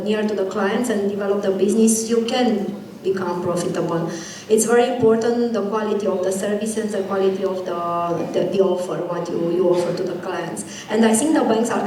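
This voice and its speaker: Woman, halting, in an echoey hall. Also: mid-range voice, a little mellow, slight background noise. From Romania. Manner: halting, searching for words. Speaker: bank executive panelist. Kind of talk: conference panel discussion. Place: conference stage.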